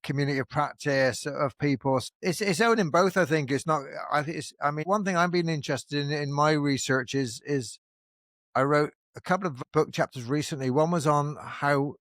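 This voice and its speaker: A man hosting a conversational podcast, talking in an animated way with frequent restarts and fillers. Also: fairly high pitch, normal speed, no room sound, studio quality. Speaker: podcast host. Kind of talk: casual conversational interview.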